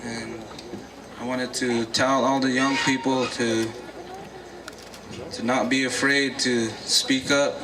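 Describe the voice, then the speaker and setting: A man giving a short address at a gathering in a slow, flat delivery. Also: medium pitch, distant mic, noisy background. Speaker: speaker at a gathering.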